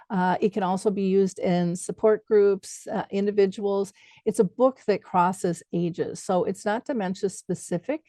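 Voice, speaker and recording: A woman speaks as the host of a talk show. Her voice sits at a medium pitch and her delivery is conversational. The sound is dry, with very little room in it. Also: mellow, dry recording. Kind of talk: conversational interview hosting.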